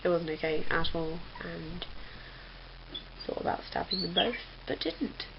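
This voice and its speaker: A woman's voice: on the low side, a little slow, creaky. Young woman chatting in a lo-fi recording. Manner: rambling, chatty, many fillers. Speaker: vlogger. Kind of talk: casual chat. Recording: dull lo-fi webcam audio with faint hiss.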